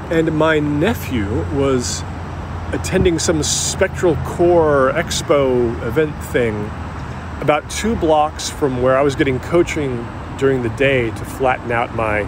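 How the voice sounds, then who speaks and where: An actor playing a middle-aged man in an audio drama, thoughtfully recalling an old friend's haunting in a halting, conversational way. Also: medium pitch, noisy background, natural voice. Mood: wry, reminiscing. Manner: halting, thoughtful storytelling with trailing pauses. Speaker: actor playing a documentary interview subject.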